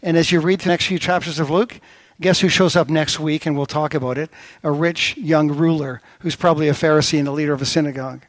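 A middle-aged man preaching a sermon as pastor, telling stories with lively swings of voice. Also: fast, no room sound, rough recording. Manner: storytelling with lively pitch swings.

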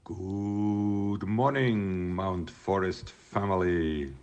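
Swiss-accented man, 50s, very low and unhurried, friendly prayer. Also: fairly even intonation, a little mellow. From Switzerland. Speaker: guest sending a video greeting. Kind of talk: greeting and prayer.

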